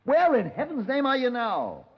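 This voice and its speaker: An actor playing an exasperated, sardonic detective in a 1950s radio drama. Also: male voice, extremely high pitch, wildly swooping pitch, moderate pace, slightly rough, exaggerated voice. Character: exasperated, dry Scotland Yard detective, male narrator. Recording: dull 1950s radio recording.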